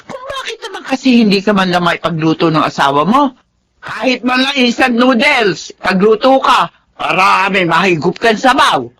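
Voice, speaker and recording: A woman's voice: medium pitch, big pitch swings, normal speed, a bit bright. An actress playing an older Filipino mother and grandmother in a radio drama, nagging and emphatic out of concern for her grown son. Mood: scolding, exasperated.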